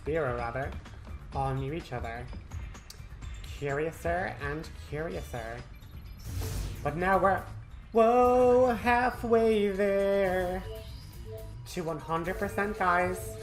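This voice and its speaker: A woman hosting a game walkthrough, chatting informally and speaking straight to her viewers. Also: low-pitched. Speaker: gaming video host. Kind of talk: casual game walkthrough commentary. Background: video game music.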